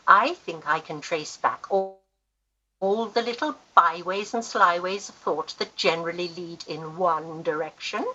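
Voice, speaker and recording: A woman's voice: on the low side, measured pace, bright, forceful tone, lo-fi sound. A woman playing a worldly older aunt in a Victorian radio play, grand, fond and wryly teasing. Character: worldly, affectionate older aunt and wealthy guardian, teasing, wry. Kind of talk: Victorian period radio acting.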